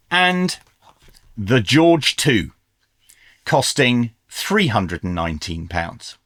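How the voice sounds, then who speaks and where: A man's voice: mid-range voice, fairly bright tone, no room sound, studio quality. A man presenting a product review in a casual, conversational style.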